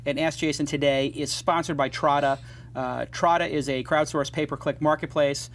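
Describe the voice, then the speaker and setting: A man hosting a casual startup interview show, talking fast and joking, with opinionated asides and sponsor reads. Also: a little high, steady tone, a bit bright, a little hiss or hum. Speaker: host.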